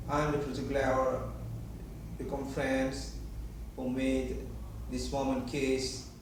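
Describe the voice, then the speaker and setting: Indonesian-accented man for an improvised, disjointed monologue; halting phrasing, recorded far from the mic with clear background noise. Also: medium pitch. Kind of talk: rambling improvised monologue to camera. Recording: very echoey room, distant mic, clear noise.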